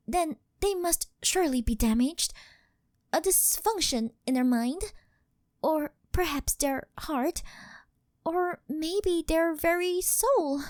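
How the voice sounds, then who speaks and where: A woman's voice: sits high, dry recording, clean studio sound. A woman playing a devoted yandere doll maid who worships her master, speaking with reverent, formal servility in an ASMR roleplay. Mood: darkly devout.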